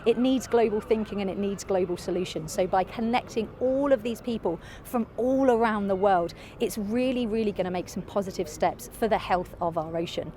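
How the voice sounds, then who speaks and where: A woman's voice: medium pitch, fairly quick, hardly any pauses, dark tone, a little hiss or hum. A woman speaking earnestly and passionately about protecting the ocean.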